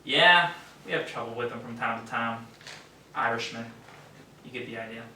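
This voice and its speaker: A man's voice: medium pitch, moderate pace, close to an everyday voice. Man as self-assured writer, very echoey stage. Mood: encouraging, matter-of-fact. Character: self-assured male radio drama writer, mildly condescending. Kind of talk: stage play acting. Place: theater stage, distant mic. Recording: very echoey stage, distant, noisy.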